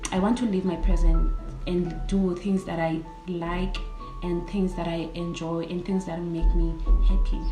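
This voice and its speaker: A nineteen-year-old woman with a South African accent chats casually to viewers in an informal, emphatic way, often repeating phrases. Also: on the low side. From South Africa, Cape Town. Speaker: vlogger. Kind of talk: casual get-to-know-me vlog chat. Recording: echoey room, music underneath. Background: background music.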